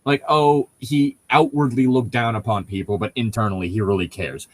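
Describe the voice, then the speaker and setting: A man chatting casually as a co-host, critiquing in an exasperated, rambling way with halting rephrasing. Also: medium pitch, fairly even intonation. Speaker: co-host reading and mocking a novel. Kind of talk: casual critical chat with read-aloud lines.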